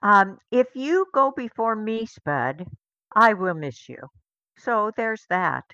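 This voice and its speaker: Slow-paced woman in dry studio. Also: mid-range voice, expressive, pushed and bright, no echo, highs cut off. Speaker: radio co-host. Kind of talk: casual studio banter.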